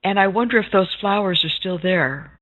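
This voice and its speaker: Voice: mid-range voice, animated, normal speed. An interviewer chatting casually and affirming the guest with short interjections. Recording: tinny phone-line audio.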